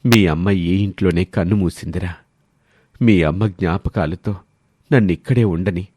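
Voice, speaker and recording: A man's voice: low voice, normal speed, darkish tone, husky, dry recording, studio quality. A man voicing an old, stubborn but tender-hearted village father in scripted story dialogue. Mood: sad, tender.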